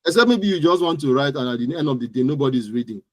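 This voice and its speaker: A man's voice: mid-range voice, lively intonation, fast, dry recording, studio quality. A man with a Nigerian accent giving interview answers as an expert guest, explaining things emphatically and often repeating words and phrases. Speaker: guest digital marketing expert.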